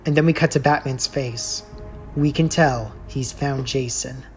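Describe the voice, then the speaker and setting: A woman's voice: extremely low pitch, unhurried. A woman reading a scripted story as a storyteller, in dramatic narration. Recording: music laid under the voice. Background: music.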